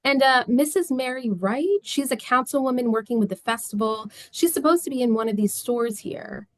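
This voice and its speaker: A woman's voice: on the high side, normal speed, dry recording, pitched above the performer's own voice, close to an everyday voice. Woman reading as businesslike county official. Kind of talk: script table read.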